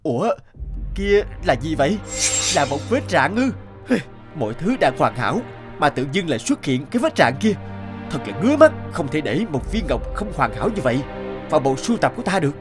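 An actor playing a proud, greedy, obsessive treasure collector in an animated fable, boastful and exclamatory. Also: male voice, a little high, fairly quick, music in the background. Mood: self-satisfied, fussy.

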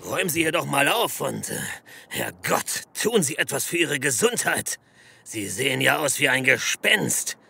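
An actor playing a pompous, disdainful police captain in a comedy audio drama, speaking stiffly and formally. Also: male voice, mid-range voice, sing-song, creaky, bright, forceful tone, breathy edge, heavily acted. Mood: scolding, stern. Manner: stiffly formal, haughty, hesitant pauses.

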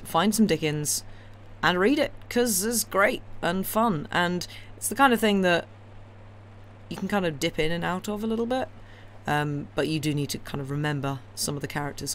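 A woman chatting casually and ramblingly to a live audience as a stream host, wry, opinionated and enthusiastic. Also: sits low. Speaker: livestream host who reads classic novels aloud. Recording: clear steady background noise.

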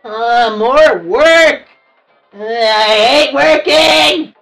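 A woman plays a small, grumpy cartoon devil with a high, whiny voice. The delivery is slow and petulant, full of complaint. The mic sounds a little distant, with faint music behind. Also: gravelly, a bit bright, exaggerated voice. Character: short, grumpy, whiny cartoon devil who hates work. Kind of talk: improvised cartoon roleplay.

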